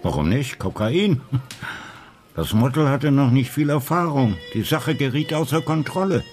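A man's voice: medium pitch, animated, moderate pace, a little mellow, natural voice. Man playing older detective type for a radio drama, analytical, melody moves a lot, bit of music under it. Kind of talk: radio crime drama acting.

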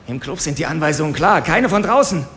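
A man's voice: on the high side, animated, brisk, fairly bright tone. An actor playing a cynical, cowardly henchman in a radio drama, talking in a coarse, self-excusing way. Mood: resentful. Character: cynical, cowardly male henchman confessing to police. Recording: clear ambient noise behind.

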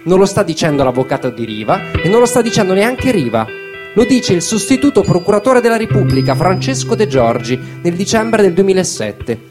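A man's voice: high voice, big pitch swings, a little fast. A man delivering an emphatic theatrical monologue, built on incantatory repetition, as a stage narrator. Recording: loud acoustic guitar accompaniment. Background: plucked guitar music.

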